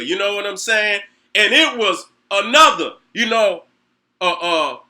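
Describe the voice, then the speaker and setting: A man's voice: high voice, bright, forceful tone. A middle-aged man hosting his own show, delivering a heated, profane monologue full of rhetorical questions and repeated "you know what I'm saying.". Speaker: host.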